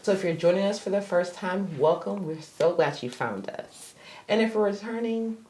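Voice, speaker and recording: A woman in her thirties hosting a marriage podcast in casual, chatty conversation, her voice slightly raspy today. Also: mid-range voice, animated, normal speed, darkish tone, rough recording. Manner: chatty, upbeat, laughs easily. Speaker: podcast host, marriage therapist associate.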